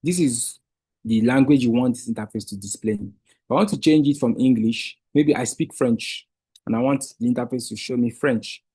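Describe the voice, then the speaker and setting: A man's voice: medium pitch, normal speed, warm tone, breathy edge, no room sound. A man whose first language is Igbo, patiently walking viewers step by step through a screen-share tutorial. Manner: patient step-by-step explaining with restarts. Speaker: Wikidata trainer.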